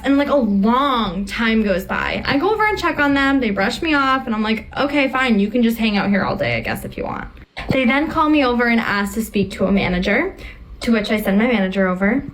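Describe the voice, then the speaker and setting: A woman's voice: fairly high pitch, rough recording. A woman telling a casual first-person story as a restaurant server, with a wry, exaggerating way of talking.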